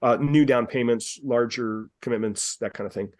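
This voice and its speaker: A man's voice: medium pitch, moderate pace, darkish tone, dry recording. A man with a mid-range voice leading a group coaching call. He is upbeat and persuasive and often repeats phrases. His voice sounds dry and close. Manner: upbeat, persuasive, repeats himself, addresses callers by name. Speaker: dance studio business coach, call host. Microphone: video call.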